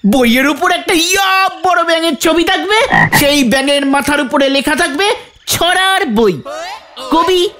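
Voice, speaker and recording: Squeaky, eager cartoon kid. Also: female voice, animated, a little slow, a bit bright. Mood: keen, cheeky. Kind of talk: comic cartoon dialogue.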